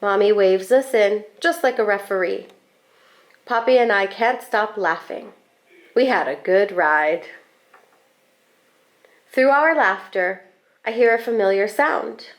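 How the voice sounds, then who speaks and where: A woman reading a children's picture book aloud expressively, with deliberate, animated phrasing and drawn-out words. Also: mid-range voice.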